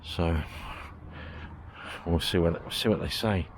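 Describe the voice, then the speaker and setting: Deep-voiced Australian man touring. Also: steady tone, slow, a little hiss or hum. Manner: chatty, thinking aloud with fillers. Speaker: travel vlogger. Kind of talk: casual walking tour commentary. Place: outdoors at a historic village.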